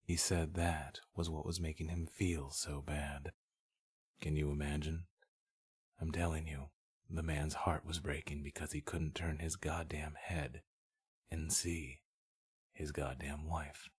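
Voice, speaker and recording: A man's voice: rumbling low, level tone, unhurried, breathy edge, heavily acted. A man voicing an earnest, brooding husband telling a sad tale in a conversational, emphatic audiobook monologue. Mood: brooding, moved.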